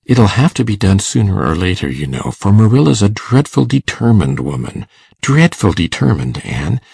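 A man acts out a shy, kindly older farmer for a storybook. His voice is very husky and creaky, moving up and down as he coaxes in a hesitant, folksy way, often repeating himself. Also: fairly low pitch, big pitch swings, normal speed, a little mellow, no echo, clean studio sound, full character voice. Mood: earnest, coaxing. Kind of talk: audiobook character acting.

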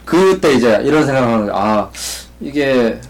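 A young man chats casually and frankly, breaking off and repeating words as he works through his thoughts. His pitch swings widely and sits in a middle register. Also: lively intonation, moderate pace, gravelly. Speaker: podcast co-host. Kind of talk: casual chat.

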